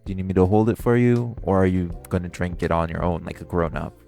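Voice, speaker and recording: A man's voice: level tone, fast talker, music in the background. A man playing a teasing, flirtatious vampire in an intimate audio roleplay, smug yet protective. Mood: teasing, caring. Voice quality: low, gravelly voice.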